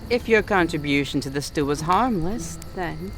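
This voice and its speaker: A man playing a wary fae guard of the Autumn Court, stern but soft-hearted, in a roleplay audio drama spoken straight to the listener in an accusing, wry manner. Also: fairly high pitch, lively intonation, moderate pace, music in the background. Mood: sly, challenging.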